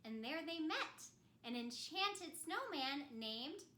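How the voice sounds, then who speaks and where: A woman's voice: high-pitched, expressive, slow, bright, forceful tone, a little hiss or hum. A woman telling a children's story in the first person as an ice queen, theatrical and speaking simply and directly to children. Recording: roomy echo.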